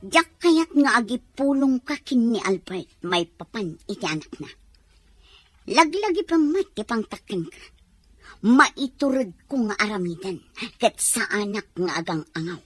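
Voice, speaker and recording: A woman's voice: medium pitch, sing-song, a little slow, husky, no echo. A woman playing a scheming, haughty woman of means in an audio drama, with an imperious, curt manner. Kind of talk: dramatized audio story acting.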